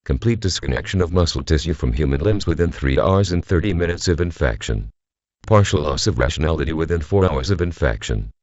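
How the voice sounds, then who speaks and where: A man playing a grave government announcer, reading a scripted emergency bulletin in a formal, measured, solemn manner. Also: rumbling low, animated, a little mellow, dry recording, lo-fi sound. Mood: grave, official. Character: grave male government civil defense announcer.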